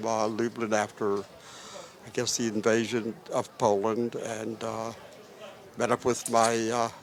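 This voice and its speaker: A gravelly, low-pitched man in his eighties speaks in a flat, even tone. He tells his story haltingly, pausing again and again on filler sounds. He is being interviewed live. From Ukraine. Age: 80s. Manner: halting, with many filler pauses. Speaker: Holocaust survivor interviewee.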